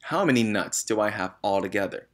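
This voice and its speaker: Huge bullying headmistress, played by a male reader: scornful, barked orders, loud storybook tyrant. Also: on the low side, lively intonation, fairly quick. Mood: furious. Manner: barking, shouting, scornful.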